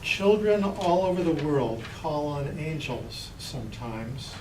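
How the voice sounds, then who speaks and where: A man's voice: on the high side, slow pace, a little mellow. A man introducing and reading a poem aloud to an audience at a poetry reading. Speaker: poet reading an absent colleague's poem. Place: public library reading room. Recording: echoey room, distant mic, noisy ambience.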